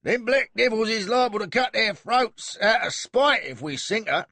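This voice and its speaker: A man voicing a gruff, plain-spoken older male boatswain with rough grammar. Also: sits high, expressive, moderate pace, pushed and bright, no room sound, voice pushed up, exaggerated voice. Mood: worried. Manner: rough, ungrammatical, respectful.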